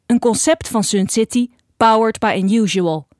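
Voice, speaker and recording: A woman's voice: fairly high pitch, normal speed. A woman announcer reading scripted podcast credits.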